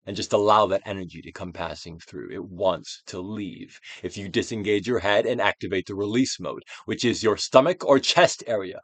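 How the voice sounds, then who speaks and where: Male stage-actor narrator for a guided self-help reading. Grand, declamatory, very wide melodic range, drawn-out emphasis, recorded dry. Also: lowish voice, fairly bright tone, no echo. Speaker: audiobook reader, actor. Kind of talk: theatrical dramatic reading of a self-help book.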